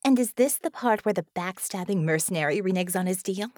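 A woman's voice: mid-range voice, fairly quick, darkish tone, no room sound, clean studio sound, natural voice. A woman voicing a seductive, menacing female lamia demon who hisses and purrs her words. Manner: silky, menacing, hissing.

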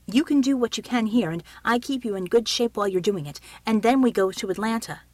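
A woman's voice: a little high, fairly quick, darkish tone, no echo, natural voice. A woman voicing a protective, firm military man in an audiobook story. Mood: insistent. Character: steady protective military male helper, quiet but commanding.

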